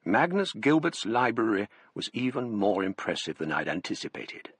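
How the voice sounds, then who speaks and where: An actor with an English accent playing a polite, bookish Cambridge man, speaking in a measured, literary way in a radio drama. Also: lowish voice, sing-song, a bit bright, husky, no echo, full character voice. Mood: grave narration. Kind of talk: radio drama narration and dialogue.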